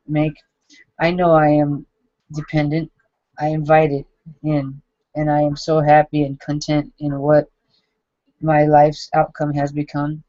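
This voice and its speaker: Halting, self-critical man in his forties. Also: on the high side, darkish tone, dry recording. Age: 40s. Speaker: vlogger. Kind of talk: reading his own journal entries aloud. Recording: dull lo-fi dry recording.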